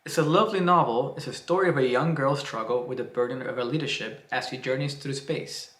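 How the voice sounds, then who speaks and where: A man's voice: medium pitch, fairly quick, a bit bright, natural voice. A Cuban man reading as an earnest journalist. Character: adult newspaper journalist, earnest and admiring. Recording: roomy echo.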